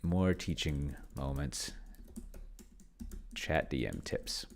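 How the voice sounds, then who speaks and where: A man's voice: rumbling low, lively intonation, slow, gravelly. A man doing casual screen-share commentary on a tabletop game, thinking aloud and muttering to himself in a rambling way. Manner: rambling, muttering to himself, reads out text and what he types. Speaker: solo tabletop game player and streamer.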